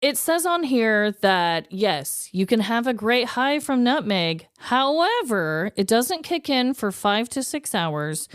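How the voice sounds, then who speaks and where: A woman co-hosting a podcast in casual, jokey chat, informal and quick to laugh. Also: fairly high pitch, sing-song, smooth, no echo, clean studio sound. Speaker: podcast co-host. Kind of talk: casual comedic chat with a prepared list.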